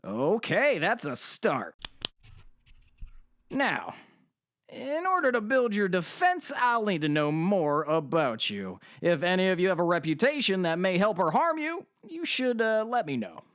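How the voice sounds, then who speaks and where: A man's voice: high-pitched, huge pitch swings, a little slow, fairly bright tone, no echo, studio quality, heavily acted. A man playing a formal, polite, slightly hesitant middle-aged defense lawyer in an audio drama. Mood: measured, concerned.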